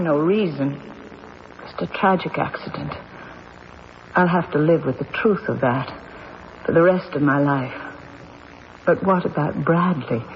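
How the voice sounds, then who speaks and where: A woman playing an earnest, sorrowful widow with an emotive, measured manner, in an old-time radio drama style. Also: on the low side. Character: earnest, sorrowful, forgiving widow accused of killing husband. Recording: muffled old radio recording, faint noise.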